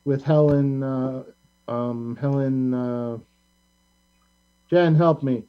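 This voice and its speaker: A man hosting a casual pop culture discussion, talking in a halting, rambling, opinionated way. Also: mid-range voice, fairly even intonation, clear, resonant tone. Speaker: talk show host. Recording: band-limited, dull lo-fi sound.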